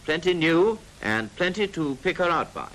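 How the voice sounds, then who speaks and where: A man's voice: a little high, very animated, normal speed, a bit bright. A British man narrating a 1940s military training film in a clipped, instructive manner with drily jocular asides. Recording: noisy 1940s film soundtrack.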